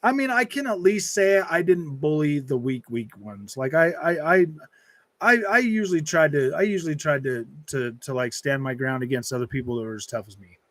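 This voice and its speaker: A man's voice: a little high, expressive, moderate pace, a bit bright, dry recording. A man in his early forties chatting casually as a gaming streamer, rambling and repeating himself with lots of dude, man and bro. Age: early 40s. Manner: rambling, repetitive, slangy, calls listeners dude, man and bro. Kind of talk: casual livestream chat, answering and reading out viewer comments.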